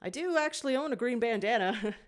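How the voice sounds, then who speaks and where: A woman's voice: fairly high pitch, lively intonation, a little fast, close to an everyday voice. A woman voicing a playful, cheeky male hedgehog hero, a sassy younger brother type with a casual way of talking. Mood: puzzled, cheeky.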